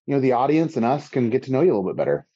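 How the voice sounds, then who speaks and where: A man co-hosting a podcast, full of enthusiasm, with a pitch that jumps around a lot. His tone is mellow. The sound is dull and a little distant from the mic. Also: rapid-fire, slightly rough. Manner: enthusiastic, lively. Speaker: podcast co-host. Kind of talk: casual interview hosting. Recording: muffled, from the mic.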